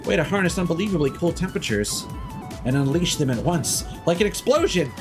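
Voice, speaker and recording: A man voicing a sassy, dryly animated video game character. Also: a little high, fairly quick, music in the background, voice pushed up, lightly acted.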